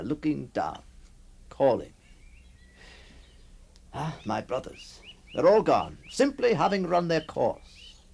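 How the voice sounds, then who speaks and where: Gravelly, wistful genteel gentleman. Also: medium pitch, exaggerated voice. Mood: nostalgic, intense. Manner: musing, theatrical, refined. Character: wistful, genteel middle-aged gentleman haunted by his past. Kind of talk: radio drama acting. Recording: old radio recording with faint noise.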